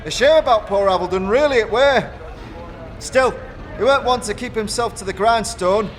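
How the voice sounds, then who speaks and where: A man playing a blustering high-pitched foundry foreman. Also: moderate pace, a faint crowd, lightly acted. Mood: pompous. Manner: rustic dialect grammar, blustering, self-justifying. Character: gruff, self-important, rough foundry foreman, defensive and boastful. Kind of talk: fantasy audio drama character acting.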